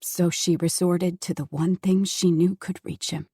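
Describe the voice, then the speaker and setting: A woman's voice: on the low side, little pitch movement, measured pace, warm tone, slightly rough, dry recording. A woman narrating an audiobook in a dramatic, intense storytelling manner on a close studio mic. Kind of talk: scripted narration.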